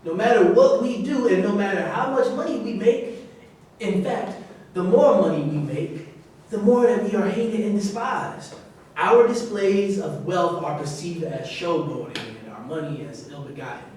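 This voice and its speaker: A man's voice: high-pitched, animated, normal speed, darkish tone. A man in a staged reading, playing a bitter, cynical former football player. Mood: bitter, forceful. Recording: echoey room from far off, faint noise.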